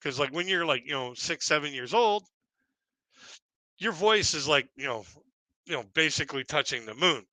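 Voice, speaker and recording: A middle-aged man telling self-deprecating comic stories on a live stream in a rambling, halting way. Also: lively intonation, bright, forceful tone, dry recording, low-quality recording. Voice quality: deep voice, by his own account. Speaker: stand-up comedian and live streamer.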